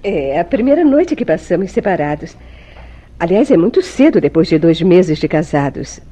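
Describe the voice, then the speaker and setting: An actress playing a secretive young wife in an old-fashioned Brazilian radio soap, with emotive, formal diction and a Brazilian accent. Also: female voice, lowish voice, sing-song, normal speed, dark tone. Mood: reassuring, evasive. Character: secretive young wife, tender, anxious, sweetly deceitful.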